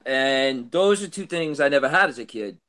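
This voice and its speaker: Late-30s man hosting a podcast, mid-pitched, eager and stumbling over his words. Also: expressive, a bit bright. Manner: enthusiastic, halting, many restarts. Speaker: podcast host. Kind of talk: casual podcast chat.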